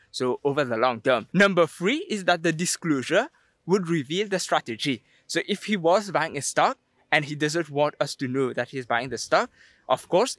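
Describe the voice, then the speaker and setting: A man's voice: a little high, a bit bright, dry recording. A young man presenting an investing explainer to camera, speaking emphatically. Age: young adult. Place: outdoors on a sandy beach.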